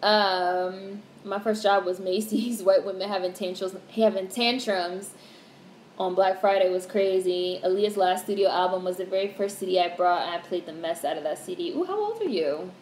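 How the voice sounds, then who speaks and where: A young woman in her twenties hosting a casual livestream, talking in a monotone way, candid and swearing casually as she reads out comments. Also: mid-range voice, moderate pace. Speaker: livestream host. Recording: roomy echo with faint background hiss.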